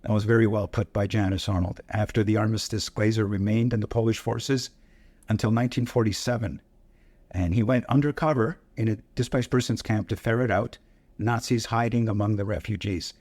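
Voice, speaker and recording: Man, low voice, dry sound, podcast co-host, storyteller. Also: fairly even intonation, fairly quick, darkish tone, no room sound. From Hungarian-speaking family. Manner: reflective storyteller, reads aloud at times. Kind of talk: casual news podcast chat.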